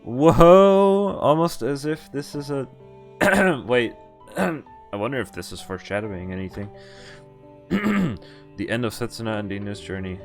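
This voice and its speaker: A man's voice: mid-range voice, lively intonation, unhurried, vocal fry, faint music behind. A man streaming a visual novel, reading the text aloud and breaking off into casual asides. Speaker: game streamer.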